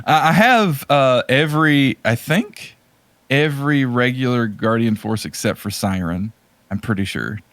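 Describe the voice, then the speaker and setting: A man co-hosting a podcast, chatting casually about video games in a halting, rambling way. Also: medium pitch, animated, fairly bright tone, no echo. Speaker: podcast co-host.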